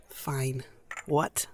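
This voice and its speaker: A woman acting in an audio drama as a wary, weary former captive with a hesitant, guarded way of speaking. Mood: weary, resigned.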